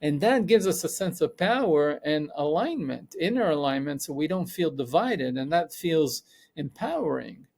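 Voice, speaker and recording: Gravelly, fairly high-voiced man. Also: expressive, normal speed, a little mellow. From Israel. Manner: thoughtful, rambling with self-corrections. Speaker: podcast guest, psychologist and coach. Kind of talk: reflective conversational interview.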